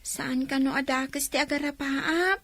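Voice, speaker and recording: Very high-pitched, defiant young girl. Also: steady tone, measured pace, fairly bright tone, no room sound. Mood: defiant, answering back. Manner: plaintive, answers back. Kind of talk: comedy radio drama acting.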